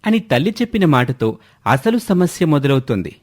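A man's voice: on the high side, very animated, moderate pace, darkish tone. A man narrating a scripted moral story as the storyteller.

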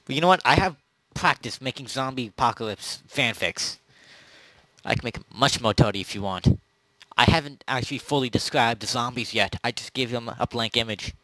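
A man improvising casual narration as game master of a role-play, often halting and correcting himself. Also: mid-range voice, bright, forceful tone, no room sound, low-quality recording.

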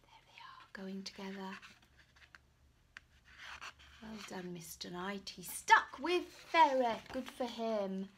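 Slow, dramatic woman reading to children. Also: mid-range voice, slight background noise. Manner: dramatic pauses, hushed asides to the children. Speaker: author reading her picture book aloud. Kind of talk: expressive storytime reading for young children. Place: small room at home, indoors. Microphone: camera or phone at about arm's length.

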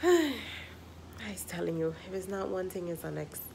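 Woman, 30s, low register, congested with a cold; chatty, filler-heavy vlogger talking to a phone at arm's length. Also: sing-song, moderate pace, creaky, background noise. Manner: chatty, rambling, with many fillers. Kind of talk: casual vlog chat to camera. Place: indoors at home, then in a parked car. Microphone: phone camera at arm's length.

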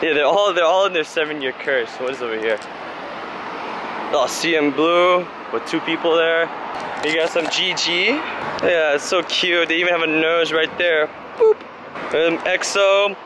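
Young man vlogging over loud street noise. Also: fairly high pitch, normal speed. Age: 20s. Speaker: vlog host. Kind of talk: casual walking vlog chat. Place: outdoors on busy city streets. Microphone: handheld camera at arm's length.

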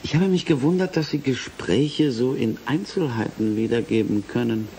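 A man's voice: medium pitch, normal speed, creaky, mellow, a little hiss or hum, lightly acted, poor audio. An actor playing an attentive male investigator in a radio play, asking pointed, inquisitive questions. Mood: probing. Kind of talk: German radio play acting.

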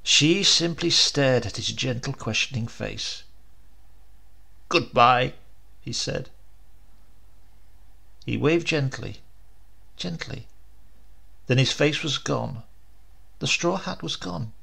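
Slow, very gravelly male storyteller. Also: mid-range voice, pushed and bright. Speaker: audiobook narrator. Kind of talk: scripted dramatic story narration.

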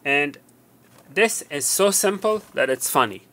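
A man's voice: a little high, animated, unhurried, a bit bright. A man in his fifties giving an unscripted product demonstration, explaining and rephrasing his points as he goes. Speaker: tech tutorial presenter.

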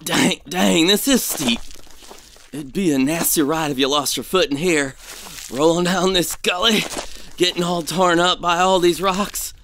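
An actor playing an uneasy, superstitious male gold prospector in an audio drama, speaking in a folksy, hesitant, excitable way. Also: a little high, a little slow, slight background noise. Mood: alarmed.

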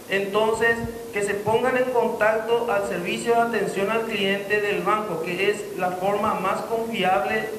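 High-pitched Paraguayan man in an echoey room. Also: fairly bright tone. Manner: formal, official, wordy. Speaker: police deputy commissioner, spokesman. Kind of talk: press conference statement with public safety advice. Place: indoor conference room. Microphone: handheld microphone held close to mouth. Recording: echoey room, long reverb, background noise.

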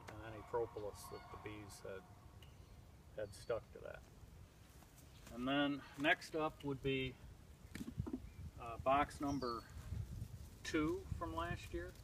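A man in his thirties talks through his work in a plain, methodical way, pausing often with "uh" as he goes. He is picked up from a camera some distance off in open woods, so his voice sounds far away. Outdoor ambience stays clearly audible behind him. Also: mid-range voice, noisy background. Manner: plain, methodical explaining with frequent "uh" pauses. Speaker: beekeeper vlogger. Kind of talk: casual demonstration. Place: outdoors in leafless spring woods. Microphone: far camera on a tripod.

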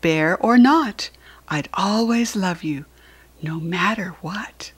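A woman voicing a tender, patient parent fox in a storybook, gently reassuring a child in a measured way. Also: fairly low pitch, slightly rough.

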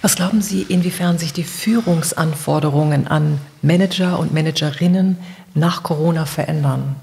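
A woman's voice: low-pitched, moderate pace, gravelly, darkish tone. A woman hosting a podcast, putting polite, structured questions to her guests in an interview style.

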